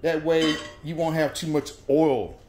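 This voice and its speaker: A man hosting a home cooking show, explaining each step in a folksy, relaxed way. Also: on the high side, measured pace, slight background noise. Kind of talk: casual cooking demonstration. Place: home kitchen at the stove. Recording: echoey kitchen room. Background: oil frying and sizzling in a skillet.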